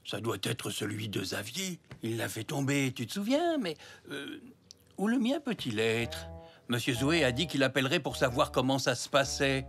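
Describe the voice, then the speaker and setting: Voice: mid-range voice, expressive, normal speed, fairly bright tone. A performer voicing a kindly, slightly muddled old grandfather zebra in a French children's cartoon. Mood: puzzled.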